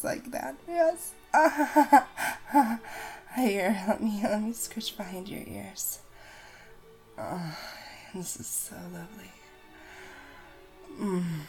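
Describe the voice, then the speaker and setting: A woman whispering softly and tenderly in an intimate audio roleplay, coaxing and gentle, with music behind her. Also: on the low side, gravelly, low-quality recording.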